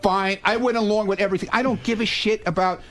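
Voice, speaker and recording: A man's voice: high voice, fairly even intonation, fast talker, fairly bright tone. A man on a radio show staff arguing off the cuff, insistent and defensive, repeating himself and cursing. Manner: profane. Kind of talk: unscripted argument.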